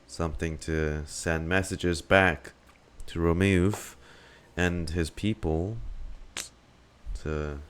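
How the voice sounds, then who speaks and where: A man's voice: sits low, steady tone, takes it slowly, smooth. A man giving casual live stream commentary on a game, rambling and thinking aloud. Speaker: streamer reacting to a video game.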